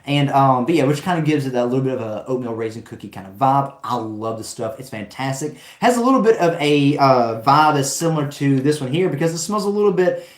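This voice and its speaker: A man's voice: medium pitch, expressive, a little fast, hardly any pauses, echoey room. A man hosting a live stream, chatting with viewers in an enthusiastic, rambling way, his voice worn from talking. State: voice going out from long talking. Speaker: fragrance live stream host. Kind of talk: casual live stream chat reading comments.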